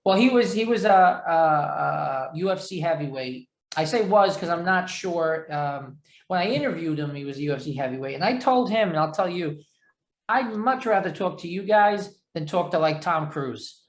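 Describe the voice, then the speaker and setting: A man's voice: on the high side, expressive, moderate pace. Chatty New York-accented male interviewer, roomy sound. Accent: New York area. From New York or New Jersey area. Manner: chatty, rambling, self-deprecating. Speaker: interview host. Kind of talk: casual conversational interview. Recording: echoey room sound.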